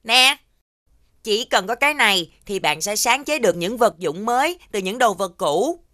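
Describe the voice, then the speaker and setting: Bright, kindly little cartoon creature. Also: female voice, mid-range voice, expressive, moderate pace. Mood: cheerful. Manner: childlike, encouraging. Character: cheerful, kindly small magical creature friend. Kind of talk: animated children's cartoon dubbing.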